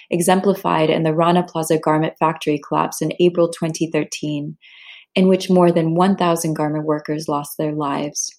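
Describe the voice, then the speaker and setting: A woman hosts a podcast, reading a scripted introduction before she turns to her questions. Her voice sits fairly low and sounds mellow, and her delivery is clear and measured. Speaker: podcast host.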